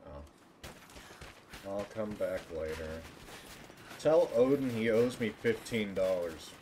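A man with a deep voice chats casually on a game livestream. He rambles at an unhurried pace with joking exaggeration, over faint background noise. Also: expressive. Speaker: live streamer. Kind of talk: casual gaming livestream chat.

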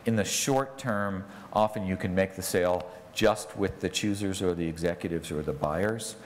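A man's voice: sits low, normal speed, a little hiss or hum. A middle-aged man giving a conference talk on stage, speaking conversationally and often ending points with "right?", on a lapel mic. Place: large conference hall on stage. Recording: long reverb of a large hall.

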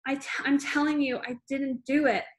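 A woman voicing a clever young schoolgirl who shouts and pleads her innocence while reading a storybook aloud. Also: high voice, little pitch movement, normal speed, lightly acted. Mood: defiant.

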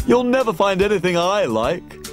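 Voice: mid-range voice, sing-song, moderate pace, soft background music, voice pushed down, natural voice. A performer putting on a fussy queen who complains about her food. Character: fussy sweet-toothed queen.

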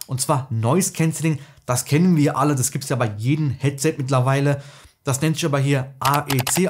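A man's voice: a little high, husky. A man in his thirties hosting a product review, explaining things in a casual, colloquial way. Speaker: tech reviewer, video host.

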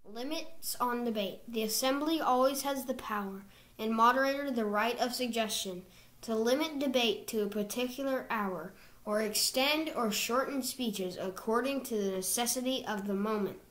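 A woman reading procedural rules from slides in a measured, scripted narration. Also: on the high side, a bit bright.

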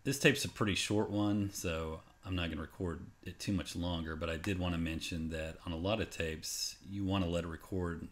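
A man presenting a casual, unscripted how-to as a voice-over, explaining step by step in an informal way. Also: deep voice. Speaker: tutorial presenter. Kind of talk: casual screen-recorded how-to narration. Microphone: voice-over on a screen capture.

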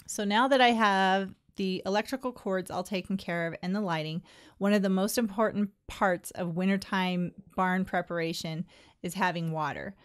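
A middle-aged woman narrating her own how-to video about her barn. She explains each step plainly and in a friendly way, at an everyday pitch. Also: steady tone, normal speed, smooth. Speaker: horse owner vlogger.

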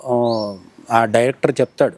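A man's voice: medium pitch, steady tone, normal speed, a little mellow, dry recording. A middle-aged man with a Telangana Telugu accent giving conversational news analysis as a journalist, explaining by posing questions and answering them. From India. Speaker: journalist interviewed as commentator.

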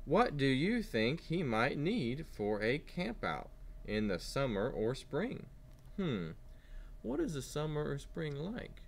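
A man teaching kindergarteners with an animated read-aloud, asking simple questions as he goes. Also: medium pitch, slow pace, creaky, a little hiss or hum. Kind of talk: read-aloud lesson for young children.

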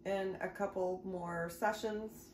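A woman's voice: mid-range voice, slow pace. Middle-aged lady sorting clutter, talking to herself, echoey dining room, noisy background. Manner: relaxed, muttering to herself while sorting. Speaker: vlogger. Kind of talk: casual narration while decluttering. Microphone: camera across the room.

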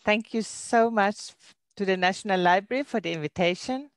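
A middle-aged Norwegian woman, a library director, giving a webinar talk in careful, slightly halting English with a Norwegian accent. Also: medium pitch, dry recording. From Norway. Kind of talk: webinar presentation. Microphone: computer mic on a video call.

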